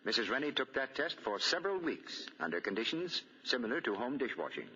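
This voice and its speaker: A man's voice: mid-range voice, very animated, fairly quick, sharp tone, husky. A man announcing on 1940s radio, with polished, emphatic commercial salesmanship. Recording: muffled 1940 radio broadcast.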